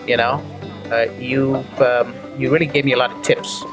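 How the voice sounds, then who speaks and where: A chatty man interviews his guest in an effusive, jokey way. His voice sits at an ordinary middle pitch. Clear music plays underneath the talk. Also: flat delivery, normal speed. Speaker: interviewer and marketer. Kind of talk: casual interview chat. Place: indoors on a couch. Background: background music.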